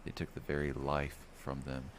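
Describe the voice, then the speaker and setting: Male storyteller, bottom-of-the-range pitch, measured dramatic fantasy narration for bedtime listening, slight noise behind. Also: completely flat delivery. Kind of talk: first-person bedtime fantasy story reading.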